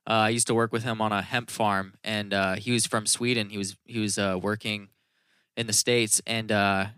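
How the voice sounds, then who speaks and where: Bright, clear-voiced man chatting casually. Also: lowish voice, level tone, normal speed, no echo. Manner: informal, profane, rambling explanations. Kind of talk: casual podcast chat.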